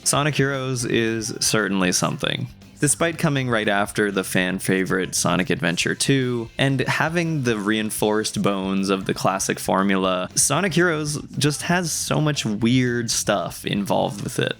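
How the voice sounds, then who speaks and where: Low-voiced man narrating over music. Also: lively intonation, measured pace, vocal fry, a bit bright. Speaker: video game reviewer, video creator. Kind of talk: scripted video essay narration.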